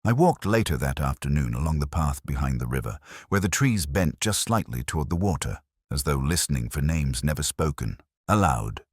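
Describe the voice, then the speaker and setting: A man playing a melancholy, reflective police inspector, narrating an audio drama in slow, measured, solemn literary prose with deliberate pauses. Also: extremely low pitch, very animated, slightly rough, no echo, clean studio sound, exaggerated voice. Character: melancholy, reflective male police detective inspector telling his story.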